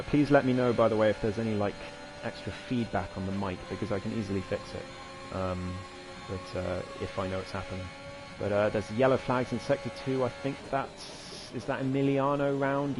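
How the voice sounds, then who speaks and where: Hoarse man commentating a race. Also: mid-range voice. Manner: rising excitedly during overtakes, chatty asides. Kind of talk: live sim racing commentary.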